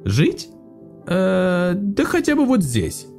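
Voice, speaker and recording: A man's voice: sits high, wildly swooping pitch, slow pace, creaky, darkish tone, faint music behind, voice pushed up, exaggerated voice. A man playing a middle-aged shop director, bossy and businesslike.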